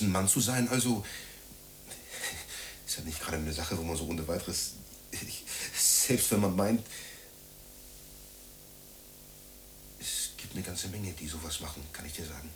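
An actor in a German radio drama playing a needy, self-pitying man who rambles haltingly and repeats himself. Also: deep voice, a little hiss or hum, lightly acted, low-quality recording. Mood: awkward, confiding.

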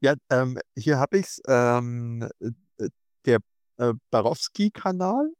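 A man of middle pitch hosting a podcast, reading out listener questions with frequent hesitations. His voice sounds dry and close to the mic. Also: expressive, takes it slowly, no room sound. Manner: hesitant, reads listener questions with many fillers. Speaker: podcast host. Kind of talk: casual podcast interview.

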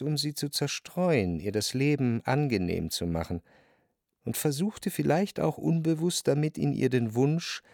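An actor playing an introspective, analytical narrator in a literary radio drama, with a measured, reflective delivery. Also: male voice, medium pitch, darkish tone, no echo, studio quality. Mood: reflective, detached. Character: introspective, analytical male narrator looking back on love.

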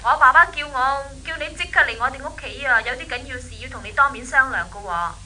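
Radio drama: breezy, insistent young woman, piercing high voice, Hong Kong Cantonese accent. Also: fast talker, sharp tone, exaggerated voice. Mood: coaxing. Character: coy, insistent young woman passing on a summons. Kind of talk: 1970s radio drama acting.

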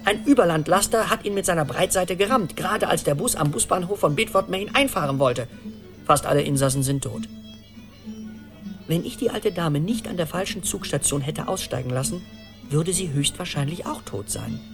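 A man's voice: on the high side, normal speed. Anxious, rambling middle-aged man over music. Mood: agitated, incredulous. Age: 40s. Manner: animated storytelling, urgent, rambling. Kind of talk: radio play acting, conversational monologue.

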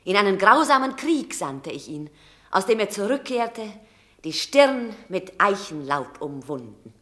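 A woman's voice: mid-range voice, sing-song, measured pace, fairly bright tone. An actress playing a proud, fierce Roman mother in a classical radio drama, declaiming with passion and emphasis. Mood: proud, exhorting. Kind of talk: classical stage-style radio drama acting.

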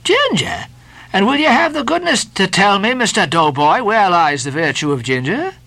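A man voicing an excitable middle-aged sailor mate who rants in indignant, blustering bursts. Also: high voice, normal speed, gravelly, no room sound, pitched above the performer's own voice, exaggerated voice. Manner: indignant, blustering, stammering tirade.

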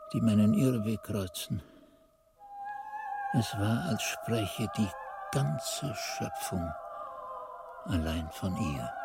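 A man's voice: fairly low pitch, creaky, full character voice. An actor playing a monk who recalls his youth in a radio drama, narrating in a solemn, ardent, literary manner. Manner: expressive, solemn, literary delivery. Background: music.

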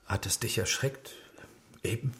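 A man's voice: fairly low pitch, measured pace, fairly bright tone. A man playing a courteous, uneasy film director and father in a German radio drama, mild and hesitant. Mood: reassuring.

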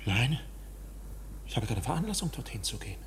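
An actor in a German radio play playing an anxious, polite but insistent accused man, questioning and moving between hushed and outraged. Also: medium pitch, very animated, fairly quick, a little hiss or hum. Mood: guarded, probing.

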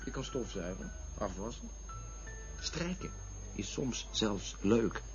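A man's voice: on the low side, big pitch swings, slow pace. Crude joking man, restless, rambling, bitter asides, radio drama, lo-fi sound, faint music. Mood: wistful, musing. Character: restless, crude, joking man brooding over love and women. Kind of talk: radio play acting. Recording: dull lo-fi radio recording with music.

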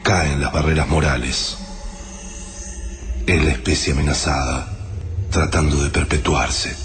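Introspective family man, very deep voice, Argentine accent, measured radio drama monologue, music behind. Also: fairly even intonation. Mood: brooding, reflective. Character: Juan, grave introspective family man narrating catastrophe.

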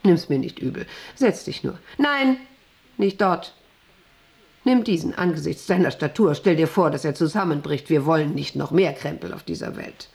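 A woman's voice: low voice, brisk, creaky, hardly any pauses, a little mellow. An actress playing a proud, middle-aged female factory director in a radio play, rambling on in a condescending, self-important way and often seeking agreement. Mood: restless, condescending. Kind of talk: radio play monologue to an employee.

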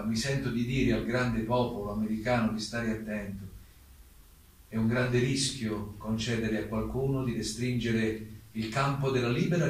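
Echoey, distant actor as grave exiled playwright. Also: male voice, lowish voice, lightly acted. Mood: solemn appeal. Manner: solemn, deliberate, rhetorical pauses. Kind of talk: theatrical monologue, formal defence statement. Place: theatre stage, recorded from afar. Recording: very echoey distant stage recording, faint noise.

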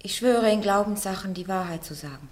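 A woman's voice: mid-range voice, a little fast, natural voice. An actress playing a defiant, plain-spoken peasant girl of nineteen on trial in a radio play, simple, forthright and stubborn. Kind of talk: German radio play acting.

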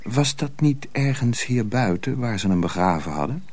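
A man's voice: medium pitch, lively intonation, moderate pace, darkish tone. A man narrating a radio play in scripted storybook style.

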